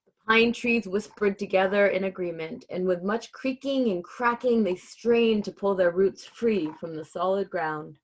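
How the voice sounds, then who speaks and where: A woman reading a storybook aloud in an expressive narrating style. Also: medium pitch, unhurried, rough recording. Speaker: story reader.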